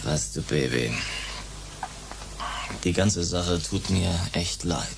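Here is a vain, scheming rock star from a science-fiction radio play. His voice sits on the low side and he takes his time, sprinkling English showbiz slang into self-pitying talk. There is clear noise in the recording. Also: slow pace, full character voice. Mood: smug, casual. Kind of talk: science-fiction radio crime drama acting.

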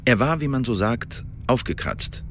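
An actor playing an Elizabethan secret agent who gives a precise, measured official report in a radio drama monologue. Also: male voice, medium pitch, big pitch swings, fairly bright tone, slight background noise. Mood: careful, narrating.

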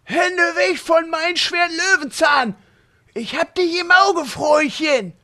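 Shrill, cranky old warrior uncle. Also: male voice, slow, full character voice. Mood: suspicious. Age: older. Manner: grumbling, loud complaining. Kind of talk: parody audio drama acting.

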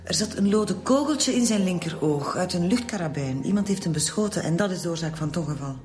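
An actress with a Flemish accent playing a dry, self-assured forensic pathologist in a radio drama, speaking in a matter-of-fact way. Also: female voice, lowish voice, expressive, fast talker, a little mellow, soft background music, natural voice. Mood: dryly matter-of-fact.

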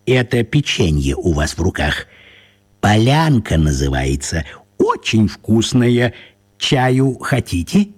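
An actor playing a shifty, puny old wizard in a radio play, with a husky voice and fussy, old-fashioned politeness. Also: male voice, lowish voice, huge pitch swings, takes it slowly, heavily acted. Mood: grumbling. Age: older.

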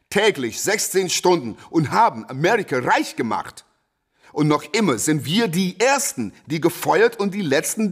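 An actor in a German radio play voicing a proud, fiery young boxer who preaches emphatically with rhetorical repetition. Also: male voice, fairly high pitch, husky. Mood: indignant, firm.

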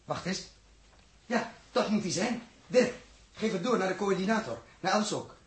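An actor with a Netherlands Dutch accent playing an authoritative, methodical spaceship captain in a 1960s radio drama, husky and commanding. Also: male voice, sits high, normal speed. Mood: urgent. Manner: commanding, instructive.

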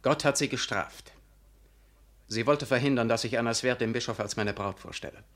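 A man's voice: medium pitch, moderate pace, fairly bright tone, lightly acted. An actor playing a cold, fanatical young clergyman in a literary radio drama, speaking in a stern, pompous, unyielding manner. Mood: hard, righteous. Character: Karl Arthur, self-righteous, cold, fanatical young clergyman.

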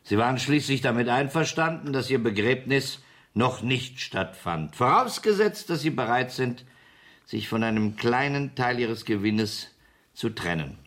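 An actor playing a smug, menacing gangster boss in a German radio crime drama, coolly mocking and commanding. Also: male voice, medium pitch, expressive, a little slow, fairly bright tone. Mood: smugly threatening.

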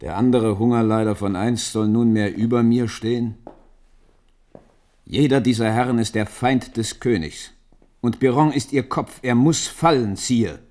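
An actor in a German radio play playing a stern, shrewd minister, measured and formal as he counsels his king. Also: medium pitch, a little mellow, lightly acted. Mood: stern warning. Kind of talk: German radio drama acting.

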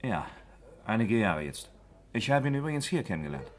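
A man's voice: lowish voice, sing-song, fairly quick. An actor playing an obliging, slightly hesitant man who repeats his words, in a 1960s German radio crime drama. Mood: affable, hesitant. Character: obliging, slightly hesitant male café regular, a smoker.

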